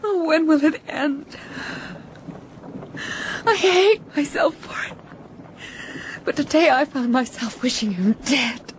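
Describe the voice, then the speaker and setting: Genteel, slow-spoken castaway woman. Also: sits high, lively intonation, slight background noise. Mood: distraught. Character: genteel, emotional castaway woman, weary yet brave. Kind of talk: broadcast radio drama acting.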